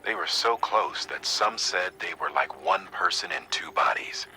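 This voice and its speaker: An actor playing an earnest, grave official in a video game, explaining things in a measured, conversational, persuasive way. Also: male voice, sits low, pushed and bright, slight background noise, low-quality recording. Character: grave male second-in-command briefing an agent, earnest, folksy. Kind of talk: dramatic video game exposition.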